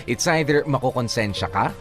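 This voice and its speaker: A Filipino man hosts a radio chat with clear music underneath. His voice is mid-pitched, and he talks casually and haltingly, working out his thoughts aloud as he moves between two languages. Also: animated. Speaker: radio host. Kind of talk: casual on-air discussion mixing Tagalog and English.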